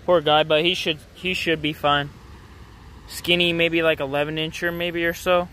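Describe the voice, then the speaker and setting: A young man narrates his catches straight into a handheld phone beside a pond. His voice sits a bit high and stays fairly flat, relaxed and offhand. Faint outdoor traffic noise runs underneath. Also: moderate pace. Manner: casual, upbeat, talking to viewers between catches. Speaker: fishing vlogger. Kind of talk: casual handheld fishing vlog. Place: outdoors at a city pond edge. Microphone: phone camera held in hand.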